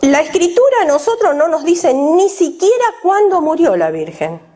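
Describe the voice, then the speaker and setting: Woman preacher, high, lively delivery, emphatic, puts questions to the room. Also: normal speed. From Argentina. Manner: questions the audience directly, emphatic. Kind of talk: sermon to a women's gathering.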